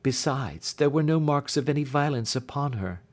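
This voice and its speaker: A man voicing an earnest, distressed young gentlewoman in a storybook reading, with formal Victorian phrasing. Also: medium pitch, animated, normal speed, lightly acted. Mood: earnest, troubled. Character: Helen, distressed young gentlewoman recounting her sister's death.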